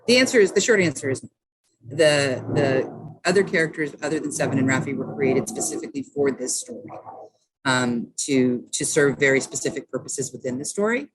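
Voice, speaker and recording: A woman's voice: extremely low pitch, brisk, a little hiss or hum, low-quality recording. A woman talking casually as an author guest on a podcast, explaining her creative work. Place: sitting outdoors.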